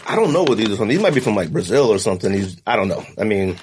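Animated, slangy man tasting snacks. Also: on the low side, rapid-fire, background noise. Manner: laid-back slangy banter, laughs often. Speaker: podcast host. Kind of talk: casual chat, snack tasting.

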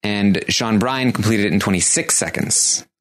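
A man's voice: on the low side, moderate pace, fairly bright tone. A man co-hosting a casual podcast, talking things through with dry, teasing humor. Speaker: podcast co-host.

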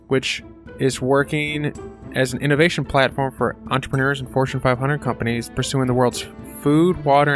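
A man's voice: medium pitch, a bit bright. Male podcast host reading over guitar. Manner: read-out, promotional. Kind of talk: scripted podcast intro. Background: guitar music.

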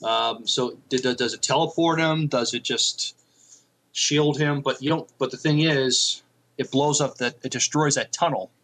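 A man with a mid-range voice co-hosts an informal podcast. He works through his thoughts in a halting, reflective manner, as in a casual discussion. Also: mid-range voice, fairly even intonation, fairly bright tone, rough recording. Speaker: podcast co-host.

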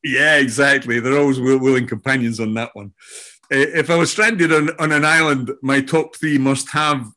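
An older Scottish man introducing himself as a guest in a loose, halting way, often laughing, over a remote call. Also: medium pitch, steady tone, sharp tone. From Scotland. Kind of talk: casual self-introduction.

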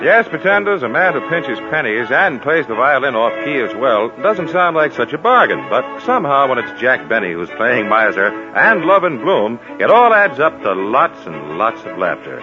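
A man's voice: medium pitch, big pitch swings, moderate pace, few pauses. An American man delivering a scripted 1950s network radio promo. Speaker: radio announcer. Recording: dull old radio recording, music behind.

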